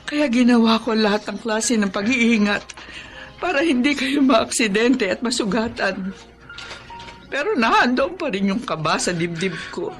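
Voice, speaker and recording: A woman's voice: medium pitch, fairly bright tone, natural voice. A woman playing an anguished, protective middle-aged mother in a radio drama, pleading and fretful. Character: anguished, protective mother grieving for her sick sons. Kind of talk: emotional radio drama acting. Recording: music bed behind the voice.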